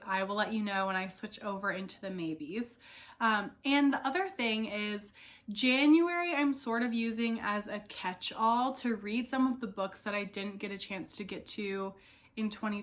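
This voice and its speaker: A woman's voice: a little high, fairly bright tone. A young woman book vlogger chatting casually to camera, her voice not fully back after an illness, rambling with fillers.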